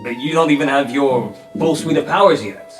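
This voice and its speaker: A man's voice: medium pitch, normal speed, roomy sound, faint music behind, voice pushed up, close to an everyday voice. A man voicing a protective older superhero cousin in a tabletop game, solicitous and apologetic. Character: fussy, protective older cousin hero called a Skull.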